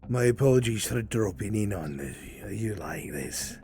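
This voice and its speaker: A man acts a deferential, anxious guard informant in a fantasy roleplay. His low, mellow voice moves in formal, halting phrases. Faint music sits behind it. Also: animated. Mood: uneasy, urgent. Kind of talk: improvised roleplay dialogue.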